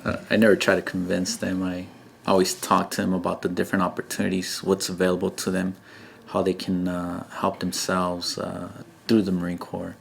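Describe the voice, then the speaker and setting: Very deep gravelly recruiter. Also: male voice, completely flat delivery, normal speed, slight background noise. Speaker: Marine recruiter. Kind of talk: interview.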